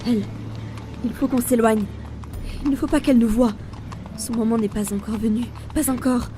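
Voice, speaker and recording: A woman's voice: on the high side, normal speed, dark tone, natural voice. A woman playing Death as a weary reaper burdened by the role, in a grave, theatrical audio drama monologue. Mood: weary, brooding. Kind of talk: narrated audio fiction, dramatic first-person monologue. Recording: music behind the voice.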